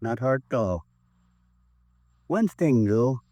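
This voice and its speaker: A man's voice: mid-range voice, very animated, takes it slowly, mellow, no echo. An actor playing a remorseful American robber in his seventies, rambling wistfully in a 1950s radio drama. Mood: anxious, resigned. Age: 70s. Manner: rambling, wistful, clipped folksy sentences. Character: weary, remorseful 72-year-old robber confessing to police.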